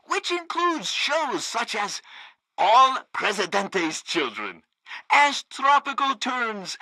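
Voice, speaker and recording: A man's voice: sits high, sharp tone, no room sound, heavily acted. An actor playing a pompous, fawning radio news host in a satirical game, grandiose and theatrical in his announcements. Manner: grandiose, sycophantic. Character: pompous, fawning male propaganda radio host, gleefully loyal.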